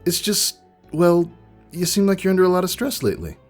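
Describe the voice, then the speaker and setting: A man's voice: sits high, sing-song, brisk, darkish tone, faint music behind, voice pushed up. A man voicing a nervous young stallion, an earnest worried friend who stammers and pleads. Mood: hesitant. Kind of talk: fan audio drama acting.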